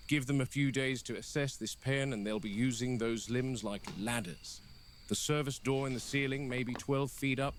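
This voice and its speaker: A stern, exasperated game warden played by a man speaks gravely and bluntly, as if logging an incident for a found-footage horror film. The voice sits at a medium pitch and is deliberate, over faint noisy night ambience. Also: close to an everyday voice. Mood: watchful, grave.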